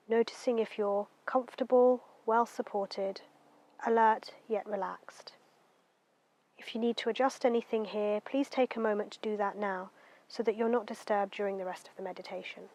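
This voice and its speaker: A woman guiding a meditation in a gentle, unhurried, soothing way, letting her phrases end softly. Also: medium pitch, steady tone. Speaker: meditation guide. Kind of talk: guided meditation narration.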